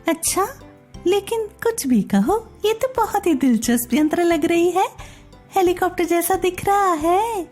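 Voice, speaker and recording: Woman voicing a high-pitched, eager young Indian daughter-in-law. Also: animated, unhurried, a little mellow, faint music behind. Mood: curious, eager.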